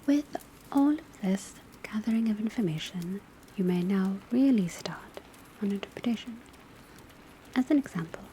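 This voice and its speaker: A woman playing a professor of divination at a witch school, lecturing in a soft, breathy, measured whisper in an ASMR roleplay. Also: medium pitch, natural voice. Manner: measured, instructive, hushed. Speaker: teacher. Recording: close ASMR recording with background ambience noise. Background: crackling fireplace, pencil writing, rain on rooftop.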